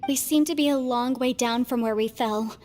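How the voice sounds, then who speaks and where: An actress plays a bookish, anxious young woman in a video game. Her voice is high and polite, with a fretful edge. Music plays behind her. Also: normal speed, a little mellow, lightly acted. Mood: rueful. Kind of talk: anime video game voice acting.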